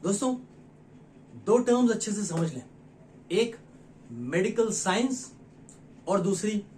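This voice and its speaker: A man from India with a fairly high voice, hosting his own health channel. He talks earnestly and persuasively to his viewers, pausing between phrases and asking rhetorical questions. A faint hiss sits under his voice. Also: rough recording. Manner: addresses viewers directly, with many rhetorical questions. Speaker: nutrition educator and channel host. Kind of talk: explanatory talk to camera.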